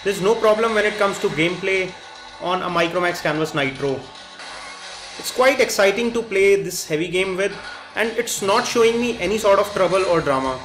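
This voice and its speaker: A man with a fairly high voice delivers a product review. He explains each point methodically as he demonstrates. Soft guitar music plays behind him. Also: animated, moderate pace, rough recording. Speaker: tech reviewer, host. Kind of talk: phone review commentary over demonstration.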